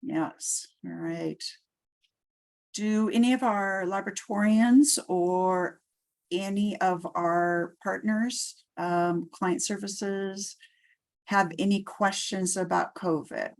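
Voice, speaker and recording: A woman hosting a conference call in an informal, halting way. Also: mid-range voice, fairly even intonation, gravelly. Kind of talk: informal meeting moderation.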